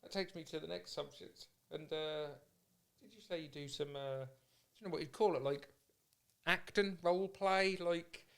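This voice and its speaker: Male podcast host, fairly high clean voice, informal and candid, easygoing conversational style. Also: moderate pace, gravelly, clean tone. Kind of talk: casual chat.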